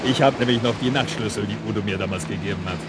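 An actor in a German radio drama playing a brazen, cocky blackmailer who was once a hired killer. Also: male voice, fairly low pitch, fast talker, close to an everyday voice. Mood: smug, threatening. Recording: loud surf noise behind. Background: ocean waves on a beach.

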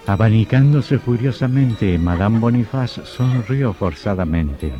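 Ominous low-voiced horror narrator. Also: male voice, lively intonation, measured pace. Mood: suspenseful. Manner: dramatic, literary, theatrical. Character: ominous storyteller of a sinister horror tale. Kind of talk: scripted narration in old radio horror drama. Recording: dull old radio recording, loud music.

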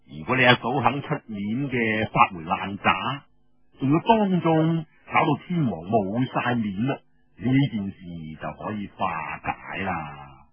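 A man's voice: on the low side, big pitch swings, measured pace, husky, dry recording, dull audio, pitched below the performer's own voice, heavily acted. A man voicing a sly, amused rebel leader in oral storytelling, with a Cantonese accent and a drawling, teasing manner.

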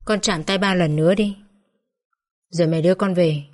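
A woman's voice: on the low side, moderate pace, close to an everyday voice. A woman voicing a pleading young mother. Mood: tearful. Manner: soft, pleading, close to tears. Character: grieving young mother at her husband's bedside.

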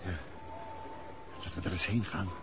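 A man acting a calm, resolute detective husband in a 1960s Dutch radio drama. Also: sits low, normal speed. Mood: calm, watchful. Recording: noisy old 1960s radio recording.

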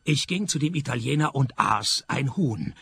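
An actor playing a sardonic, world-weary private detective in a comic noir radio play, speaking dryly. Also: male voice, medium pitch, moderate pace, creaky, a little hiss or hum. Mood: dry, resigned. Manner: dry, deadpan, sarcastic.

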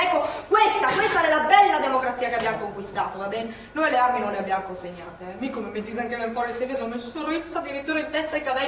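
A woman's voice: high-pitched, slight background noise. A feisty old partisan woman. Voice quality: lively, emphatic, mixes dialect into speech. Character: elderly woman, former partisan, feisty and proud. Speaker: stage actress. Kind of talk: stage play acting. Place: theatre stage. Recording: echoey theatre stage from far off.

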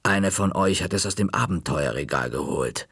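Man voicing a stern commanding librarian woman, low slow delivery, gravelly and husky, storybook reading. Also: expressive, fairly bright tone, dry recording, clean studio sound, heavily acted.

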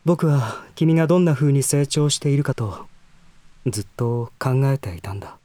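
A man acting a polite, reserved, bashful lover in a Japanese voice drama, with soft, refined, slightly formal speech. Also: mid-range voice, expressive, normal speed, no room sound, natural voice. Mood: fond, wry.

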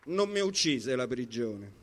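A man's voice: medium pitch, big pitch swings, takes it slowly, a bit bright. A man with a Roman accent reciting spoken-word poetry in a rhythmic, emphatic, dramatic way. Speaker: poetry performer.

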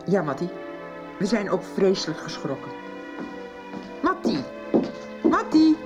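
A woman's voice: medium pitch, huge pitch swings, a little slow, muffled recording, music in the background. An actress playing a worried, apologetic, pleading woman in a radio soap. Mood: alarmed. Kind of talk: 1990s Dutch radio drama acting.

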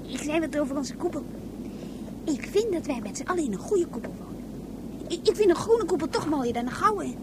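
Young girl, radio play: high, chatty, matter-of-fact, noisy background. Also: animated, a little fast. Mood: curious. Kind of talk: radio drama acting. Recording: clear background noise.

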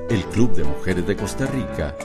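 Deep-voiced male radio announcer. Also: expressive, moderate pace. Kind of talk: scripted radio credits narration. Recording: loud music bed.

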